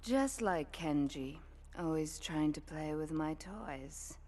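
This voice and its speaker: An actress playing a cool, controlled female Yakuza boss in a video game, with a measured, imperious, dry delivery. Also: sits low, animated, mellow, exaggerated voice. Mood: sly, approving. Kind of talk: video game cutscene acting.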